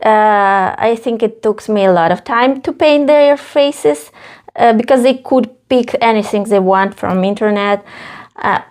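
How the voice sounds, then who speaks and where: A woman in her thirties from Ukraine, speaking English with a Ukrainian accent, telling her story with many pauses and fillers as a guest. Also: a little high, darkish tone. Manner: halting non-native English with many fillers. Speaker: face painter, guest. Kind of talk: casual interview.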